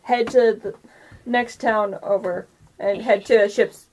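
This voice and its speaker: A woman's voice: mid-range voice, fairly even intonation, slow pace, a little mellow, slight background noise, poor audio. A woman improvising narration as game master in a casual, hesitant way.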